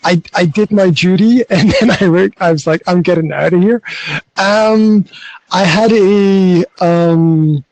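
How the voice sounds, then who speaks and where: A middle-aged man with a slightly raised pitch talks loosely and easily, doubling back on his words and acting out little remembered exchanges. The sound is close and dry, with little room around it, picked up on a phone outdoors on a balcony. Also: unhurried, dry recording, rough recording. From Scotland. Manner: relaxed, rambling, with frequent restarts and quoted asides. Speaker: podcast host and business coach. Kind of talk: casual conversation over an app. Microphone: mobile phone.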